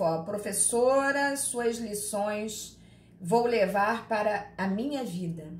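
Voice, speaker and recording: A chatty woman with a Carioca accent lectures on law, teasing her students by name between points. Her voice sits in an ordinary middle range. She is recorded in an echoey room with faint ambient noise behind her. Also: fairly high pitch. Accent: Carioca Portuguese. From Rio de Janeiro, Brazil. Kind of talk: live online class, reading chat questions.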